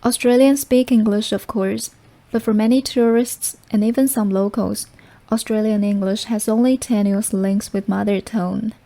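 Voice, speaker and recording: A woman reads a prepared practice passage aloud. Her voice is mellow and relaxed, sitting at a medium pitch. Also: normal speed. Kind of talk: scripted read-aloud of practice texts.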